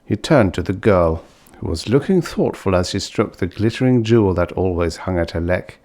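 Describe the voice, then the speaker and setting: A man narrating fiction as an audiobook reader, in an expressive scripted storytelling style. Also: low voice, moderate pace.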